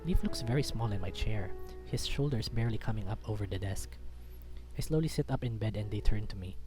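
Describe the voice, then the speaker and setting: A man's voice: fairly low pitch, fairly quick, over music. A man reading a visual novel aloud as dramatic first-person story narration.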